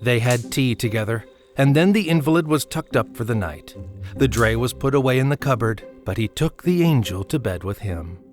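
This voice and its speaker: A man narrating a Christmas story in an audio drama, with measured, expressive literary delivery. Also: medium pitch, natural voice. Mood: measured wonder. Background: music under the voice.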